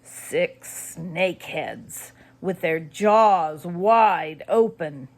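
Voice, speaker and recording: A woman reading a spooky children's story as scripted narration, expressive and suspenseful. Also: on the low side, extremely slow. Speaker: audiobook narrator.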